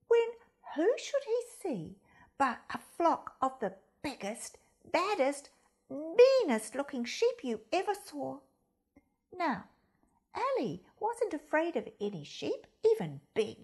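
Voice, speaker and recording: A woman reading a children's story aloud in a dramatic, deliberate way, with hushed emphasis. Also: high-pitched.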